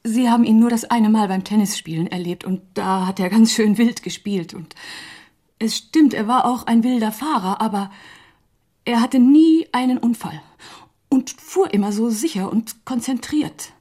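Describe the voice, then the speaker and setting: Actress as a husky, hesitant grieving woman. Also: on the high side, close to an everyday voice. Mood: subdued, insistent. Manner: hesitant, halting, hushed. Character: grieving, doubting woman confiding in a detective. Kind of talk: naturalistic radio drama acting.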